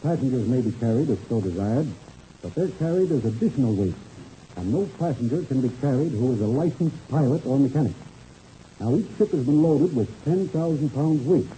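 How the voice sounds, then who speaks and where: An actor playing a formal, firm air-race official who speaks precisely and with authority in a 1930s radio serial. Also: male voice, medium pitch, animated, fairly quick, mellow, lightly acted. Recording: muffled, hissy old radio recording.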